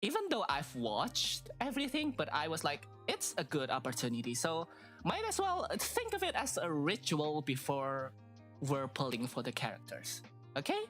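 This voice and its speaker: A woman's voice: sits low, big pitch swings, normal speed, soft background music. A woman streamer with a stuffy nose chatting casually and rambling, with lots of fillers and hesitations. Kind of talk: casual live-stream chat.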